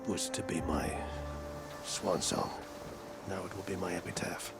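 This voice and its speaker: Gravelly, weary old fleet admiral. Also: male voice, low-pitched, fairly even intonation. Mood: bitter, grim. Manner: formal, grave, measured, archaic phrasing. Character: weary, stern older male fleet admiral, guarded and pessimistic. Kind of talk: video game cutscene acting. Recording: music behind the voice.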